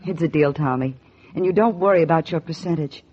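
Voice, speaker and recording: An actress playing a sultry, scheming widow, coy and melodramatic, in a 1940s radio drama. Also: female voice, low-pitched, brisk, a little mellow, husky. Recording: muffled, hissy 1940s radio recording.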